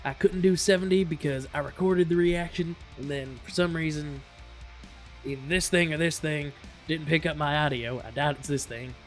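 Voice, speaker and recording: A man's voice: on the high side, steady tone, normal speed, soft background music. A man hosting a reaction video, talking in a casual, rambling way with an irreverent, profane, jokey manner.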